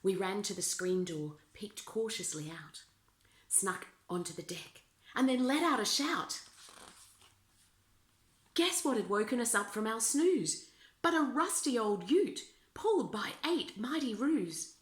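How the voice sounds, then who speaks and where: A woman reading rhyming children's verse aloud as an expressive storyteller. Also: on the high side, unhurried. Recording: roomy, echoing indoor sound.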